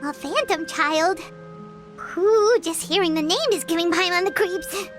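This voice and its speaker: A woman voicing a tiny, excitable girl fairy companion in a video game, childlike and very expressive. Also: very high-pitched, a little slow, soft background music. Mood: uneasy. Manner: talks of herself in third person, expressive, childlike.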